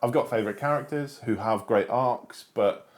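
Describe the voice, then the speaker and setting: A man's voice: medium pitch, normal speed. A man co-hosting a casual book discussion, talking in emphatic bursts that trail off into fillers. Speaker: co-host. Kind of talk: casual chat.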